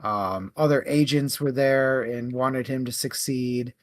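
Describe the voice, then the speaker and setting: A man co-hosting a book club show, discussing casually in a halting, conversational way. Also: medium pitch, steady tone, dry recording.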